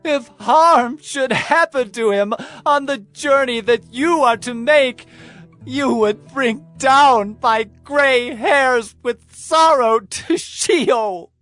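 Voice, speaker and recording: A man voicing an aged, grieving father who speaks in slow, mournful pleas. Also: extremely high pitch, a bit bright, no echo, soft background music, exaggerated voice. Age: older.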